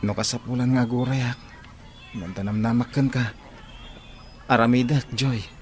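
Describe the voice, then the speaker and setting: A man's voice: medium pitch, fairly even intonation, normal speed, soft background music, lightly acted. A man playing an earnest young suitor in an audio drama, with an Ilocano Filipino accent. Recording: faint outdoor ambience noise.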